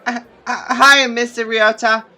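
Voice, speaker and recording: A man's voice: extremely high pitch, little pitch movement, measured pace, pushed and bright, pitched above the performer's own voice, heavily acted. A man voicing a witty, playful teenage girl who teases her friend and gets easily flustered, in a visual novel.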